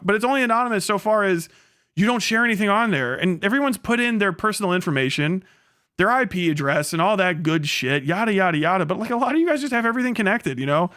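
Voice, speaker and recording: A male streamer with a fairly high, clear voice talks casually to his viewers. He is blunt and sarcastic and swears freely. The sound is bright and forceful. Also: animated, brisk, no room sound, studio quality. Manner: blunt, heavily profane, sarcastic. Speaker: live streamer. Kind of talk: casual livestream rant with chat.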